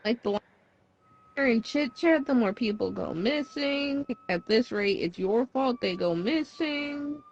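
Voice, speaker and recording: A woman's voice: on the high side, animated, slow, slightly rough. A young woman chatting casually, offhand and full of fillers, on a dull lo-fi recording. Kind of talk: casual chat. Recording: dull lo-fi sound.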